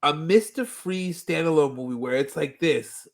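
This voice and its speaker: A man with a fairly high-pitched voice talks casually as a podcast co-host. He explains things in a conversational, storytelling way, as in a relaxed rewatch discussion. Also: moderate pace, creaky. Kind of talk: casual animated-series rewatch chat with a co-host.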